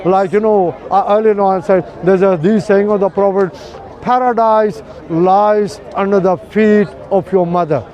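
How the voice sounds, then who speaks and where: A man's voice: sits high, steady tone, measured pace, dark tone, dull audio, a faint crowd. An older man talking earnestly with a passer-by on a city street, explaining his views with many fillers. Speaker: street preacher. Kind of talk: street conversation. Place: busy city street outdoors.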